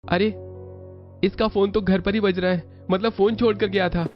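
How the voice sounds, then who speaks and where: A man's voice: high-pitched, fairly even intonation, moderate pace, lightly acted. A man playing an eager young adult son in a cartoon family story. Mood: puzzled. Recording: dull lo-fi audio with music behind.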